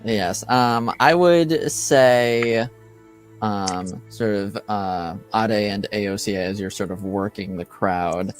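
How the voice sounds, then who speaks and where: A man with a lowish voice narrates a tabletop fantasy game as its game master. He talks slowly, hesitating often with ums and uhs as he describes the scene and calls for rolls. Faint music plays underneath. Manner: halting, full of ums and uhs.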